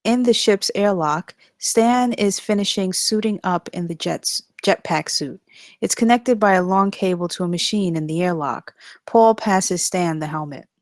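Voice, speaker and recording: Female narrator, lowish pitch, scripted screenplay narration, dry sound. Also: a little slow, no room sound. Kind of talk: scripted stage-direction narration.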